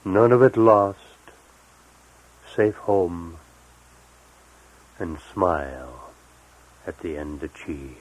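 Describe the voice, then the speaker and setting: A man gives a poetry reading in a very deep voice. His pace is very slow, and he sets each phrase apart with deliberate pauses. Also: expressive, rough recording. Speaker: poet. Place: live recorded reading, 1978.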